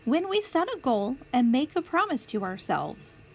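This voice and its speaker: A woman reading a picture book aloud as a storytime host, with deliberate, clear phrasing for young children. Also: high voice, big pitch swings, faint music behind. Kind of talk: children's read-aloud narration.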